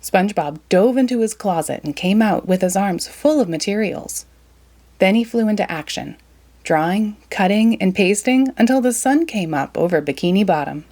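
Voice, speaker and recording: A woman narrating a children's storybook in an animated, expressive read-aloud. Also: medium pitch, normal speed.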